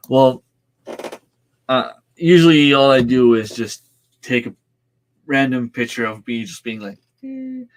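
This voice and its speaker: French Canadian man, 30s, native French speaker; slow, relaxed livestream host, hesitant and friendly with chat. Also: mid-range voice, low-quality recording. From Northern New Brunswick, Canada. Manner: easygoing, hesitant, many fillers and restarts. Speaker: farm channel host. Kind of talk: casual livestream chat, answering viewer comments.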